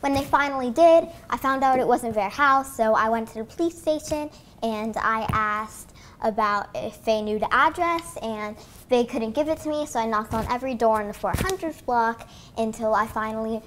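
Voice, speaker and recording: A woman's voice: fairly high pitch, moderate pace, a bit bright, slight background noise. A young author from Pennsylvania telling her stories eagerly in a home kitchen, often starting with "um". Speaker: guest author. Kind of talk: interview answers.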